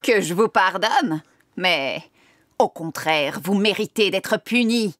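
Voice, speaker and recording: A woman's voice: mid-range voice, lively intonation, slow pace, fairly bright tone, no room sound, studio quality. A woman voicing a stern, haughty witch in an acted storybook tale, speaking with deliberation. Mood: indignant, stern. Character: menacing, bargaining old witch, stern and possessive. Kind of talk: storybook audio drama acting.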